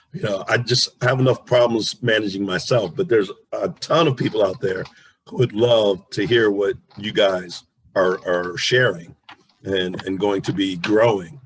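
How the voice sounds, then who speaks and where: A man's voice: lowish voice, slightly rough, low-quality recording. A man hosting an interview in a casual, conversational style. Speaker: interviewer, host.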